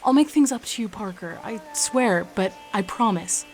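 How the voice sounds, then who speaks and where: A woman's voice: mid-range voice, expressive, moderate pace, darkish tone, natural voice, rough recording. A woman playing a sincere, pleading teenage boy apologizing in a radio drama. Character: earnest, apologetic teenage boy at prom night.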